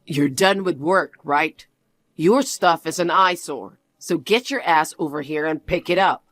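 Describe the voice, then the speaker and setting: A cold, arrogant husband talks down to his wife in a lowered, scornful tone, as a woman reader puts him on. The delivery is clipped and sneering, lecturing rather than arguing. The sound is dry and close. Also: unhurried, a bit bright, no echo. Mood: contemptuous. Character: arrogant husband, dismissive young man. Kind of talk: one-voice drama reading.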